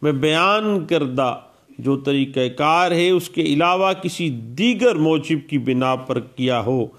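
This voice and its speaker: A man's voice: on the high side, expressive, takes it slowly. A man giving a law lecture, explaining legal rules step by step and mixing in English legal terms. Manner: explanatory, repeats statute citations, Urdu legal terms with English words.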